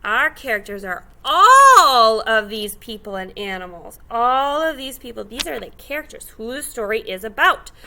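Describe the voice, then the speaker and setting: A woman's voice: a little high, sing-song, moderate pace, hardly any pauses, sharp tone, a little hiss or hum, rough recording. A woman teacher giving step-by-step instruction to young children, phrasing things simply, stressing key words and asking guiding questions. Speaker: elementary school teacher.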